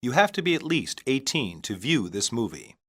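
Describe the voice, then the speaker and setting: Male language-lesson reader, mid pitch, animated intonation, deliberate delivery in separate phrases for learners. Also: slightly rough. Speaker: English teacher reading practice phrases. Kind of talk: scripted language-lesson reading.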